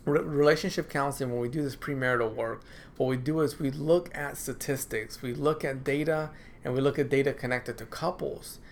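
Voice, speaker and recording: Gravelly-voiced male counselor, casual. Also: medium pitch, fairly even intonation, brisk, a little hiss or hum. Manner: conversational, repeats phrases, asks "right?" often. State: dry throat, clearing it. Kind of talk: informal talk to camera. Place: counseling office.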